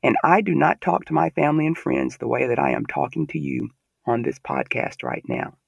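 Gravelly Southern American man, earnest. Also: mid-range voice, steady tone, no room sound, highs cut off. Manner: earnest, emphatic, measured. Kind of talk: conversational podcast discussion.

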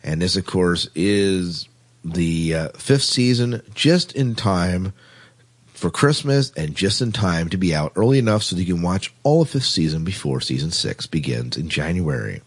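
A man's voice: sits low, big pitch swings, moderate pace, breathy edge. A man co-hosting a casual podcast, chatting through science fiction news. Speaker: podcast co-host.

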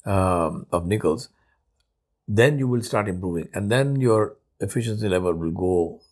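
A man in his seventies from India, whose first language is Urdu, hosting a solo podcast in a thoughtful, unhurried way with many pauses. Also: fairly low pitch, lively intonation, darkish tone. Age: 70s. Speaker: podcast host. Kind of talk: reflective solo podcast monologue.

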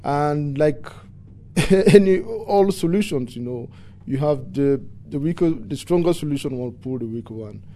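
A man from Cameroon with a Cameroonian accent gives earnest, halting interview answers, often repeating words for emphasis. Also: a little high, lively intonation, warm tone. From English-speaking Cameroon. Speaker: environmental activist and interviewee.

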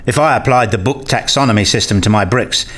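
A man playing a keen, inquisitive learner in a radio play, questioning and candid, quick to challenge what he is told. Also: lowish voice, fairly bright tone, breathy edge. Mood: curious, analytical.

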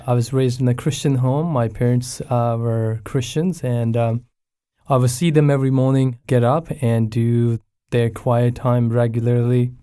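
Mellow-voiced man from Pakistan. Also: medium pitch, measured pace, clear, resonant tone. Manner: relaxed storytelling with frequent fillers and restarts. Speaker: pastor, guest. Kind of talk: casual conversational interview.

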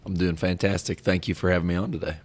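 A man in his thirties, a business owner and podcast guest, talking in a casual, upbeat way with a raspy voice today. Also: deep voice, level tone, fast.

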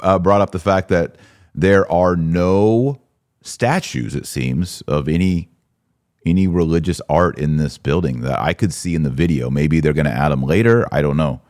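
A man's voice: a bass voice, normal speed, darkish tone. An American man hosting a podcast in a casual, opinionated and joking conversational way. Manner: informal, joking, reads out listener comments. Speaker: podcast host.

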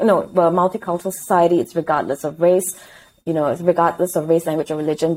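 Female interview guest, Singaporean accent, dark mellow tone, slightly low pitch, thinking aloud conversationally. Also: rough recording.

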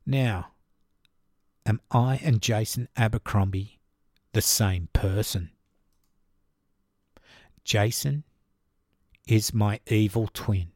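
A man's voice: on the low side, slow pace, long pauses, a little mellow, dry recording, clean studio sound. A man with an Australian accent hosting his own podcast, answering listener questions in a chatty, informal way. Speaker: podcast host.

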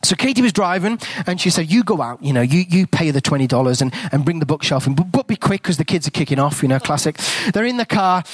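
A man with an English accent preaches in a relaxed, storytelling way, his voice sitting a bit high for a man. He sometimes sinks into a hushed near-whisper when he prays. The sound is close and dry, with no room echo. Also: quick pace, hardly any pauses. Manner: conversational storytelling, drops to a hushed whisper in prayer. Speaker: guest preacher. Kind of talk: sermon with a told story.

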